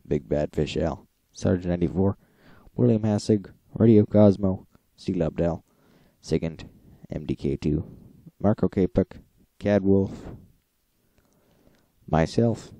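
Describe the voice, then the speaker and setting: A man with a deep, very muffled-dark voice hosts an informal logging recap. He reads out lists plainly, with an occasional aside, and the sound is close and dry. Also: vocal fry, no room sound. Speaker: host of a radio logging recap. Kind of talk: informal recap reading out logs.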